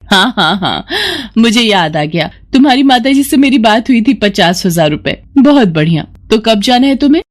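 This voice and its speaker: A woman's voice: on the high side, expressive, normal speed, natural voice. Woman playing a sly, scheming cartoon sister-in-law. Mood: falsely sweet. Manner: sly, persuasive, put-upon. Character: scheming, manipulative sister-in-law who wheedles her husband.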